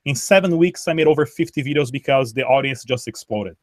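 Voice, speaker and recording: A Brazilian-born man whose first language is Portuguese, speaking as a guest in an interview, halting with frequent restarts and repeated words. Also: medium pitch, no echo, studio quality. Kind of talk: conversational interview.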